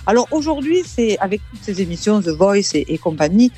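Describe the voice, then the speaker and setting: A woman's voice: mid-range voice, sing-song, brisk, warm tone, soft background music. Hesitant southern French woman singer. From south of France. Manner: hesitant, repeats words and fills with euh. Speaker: singer, interview guest. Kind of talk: casual interview.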